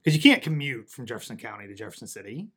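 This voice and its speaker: A man's voice: mid-range voice, lively intonation, very fast, fairly bright tone. A man hosting a podcast interview, conversational and chatty, laughing easily. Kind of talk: casual interview chat.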